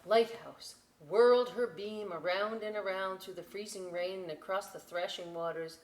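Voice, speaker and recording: A woman hosting a children's storytime, reading and chatting informally in an expressive, emphatic way. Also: mid-range voice. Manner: expressive, emphatic, unhurried delivery. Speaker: library storytime host. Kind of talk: children's read-aloud with informal asides. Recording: echoey room.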